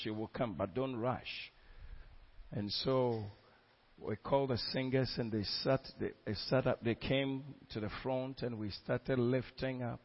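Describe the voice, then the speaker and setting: A man preaching with fervent, exclamatory energy, often repeating his words. His voice sits in an ordinary middle range, and the recording sounds dull and lo-fi. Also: medium pitch. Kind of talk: sermon testimony.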